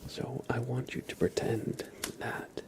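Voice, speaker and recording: A man doing ASMR on a live stream in a breathy, hushed whisper, speaking gently and softly. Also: medium pitch, little pitch movement, slow pace, background noise. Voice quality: breathy whisper with soft-spoken stretches. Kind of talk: ASMR, whispered relaxation and guided imagery.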